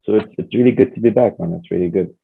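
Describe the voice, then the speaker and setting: Deep-voiced man on a tinny line. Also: quick pace, gravelly. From Nigeria. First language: Hausa. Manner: relaxed, hesitant, many fillers and restarts. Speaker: podcast guest. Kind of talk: casual chat. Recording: tinny phone-like sound.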